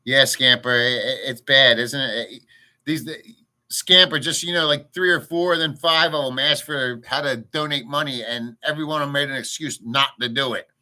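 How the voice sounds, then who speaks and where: A man's voice: mid-range voice, normal speed, pushed and bright. A man hosting a casual livestream, ranting bluntly and crudely in a rambling way and answering chat. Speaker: livestream host. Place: home in a rural province.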